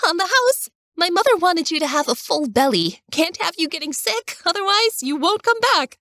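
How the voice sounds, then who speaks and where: Lady doing a cheeky teen girl, super high and sassy, dry close audio. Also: sing-song, moderate pace, no echo, heavily acted. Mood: teasing. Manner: bouncy, joking, high and bright. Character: cheeky chatty teenage girl, bubbly and teasing.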